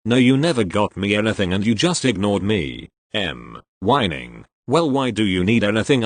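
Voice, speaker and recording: Man, low voice, animated story reading, dry close mic. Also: dry recording. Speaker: reader of online posts. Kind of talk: reading stories aloud.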